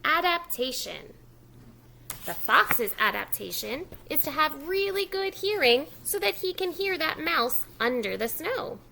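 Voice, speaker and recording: Female preschool storytime reader: slow, very expressive delivery, lively pitch swings, encouraging asides for little listeners. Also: creaky, slight background noise. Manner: expressive, encouraging, simple child-directed talk with prompts to repeat words. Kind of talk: children's picture-book read-aloud with asides to young listeners.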